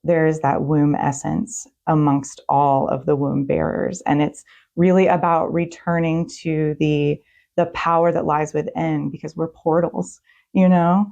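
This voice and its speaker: Low-voiced woman as a talk show guest. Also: level tone, unhurried, creaky, darkish tone, lo-fi sound. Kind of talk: conversational interview answers.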